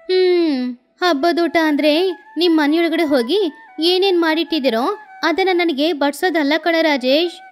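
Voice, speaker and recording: A woman plays a nosy village neighbour in a storybook tale. Her voice is very high, and she teases and chides as she talks, over faint music. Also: normal speed. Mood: teasing. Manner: chiding, teasing.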